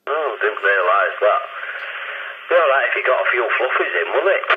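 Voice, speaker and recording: A man comes through a thin CB radio signal with faint noise. His pitch moves up and down a lot as he chats, matey and full of questions. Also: big pitch swings, moderate pace, phone-quality sound. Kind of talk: casual radio chat.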